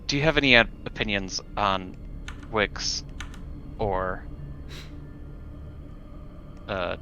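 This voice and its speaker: A man's voice: on the low side, slow pace, pushed and bright, soft background music. A man playing a tabletop roleplaying game, talking casually in a tentative, hedging way. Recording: dull, faintly noisy room recording.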